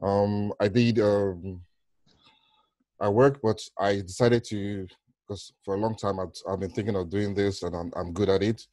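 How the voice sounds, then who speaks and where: A middle-aged man with a Nigerian accent speaks in a low voice as an interview guest. He explains things conversationally, circling back and rephrasing. The sound is dry, captured on a headset during a video call. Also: steady tone, unhurried, dark tone, dry recording. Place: small room at home, on a video call.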